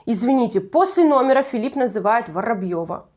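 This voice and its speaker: A woman's voice: a little high, animated, a little fast, darkish tone. A woman with a Russian Far East accent chatting through a nostalgic TV show review, digressive and correcting herself as she goes. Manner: chatty, digressive, self-correcting, laughs at own slips. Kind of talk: casual solo review commentary.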